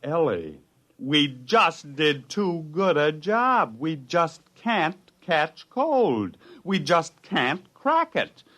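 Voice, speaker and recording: A man's voice: fairly high pitch, very animated, very slow, fairly bright tone, heavily acted. An actor playing an exasperated, commanding research scientist who tells his ordeal in dramatic 1950s radio style. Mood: desperate. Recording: restored 1950s radio broadcast, music behind.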